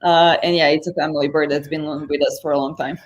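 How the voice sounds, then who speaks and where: A young woman from Serbia talks with a clear Serbian accent in a slightly low voice. She is a guest on a live stream over a video call, speaking fluently but filling pauses and restarting her phrases. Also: level tone. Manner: fluent, many fillers and restarts.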